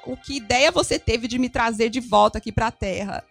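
A woman's voice: a little high, moderate pace, creaky, faint music behind. A woman with a Brazilian accent giving live consecutive interpretation of a sermon, phrase by phrase.

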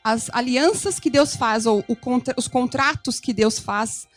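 A woman's voice: a little high, unhurried, faint music behind. A woman with a Brazilian accent giving live consecutive interpretation of a sermon, phrase by phrase.

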